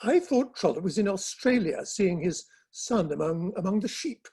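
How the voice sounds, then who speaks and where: A man takes the part of a sceptical Victorian gentleman in a play reading. The voice has a husky, unpolished edge. He is polite and probing, with a cautious temper. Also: sits high, expressive, normal speed, exaggerated voice. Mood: curious.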